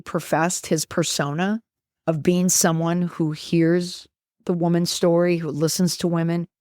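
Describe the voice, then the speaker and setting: A woman's voice: low voice, a little mellow, no room sound, clean studio sound. A middle-aged woman giving opinionated podcast commentary in an emphatic way, repeating words for stress.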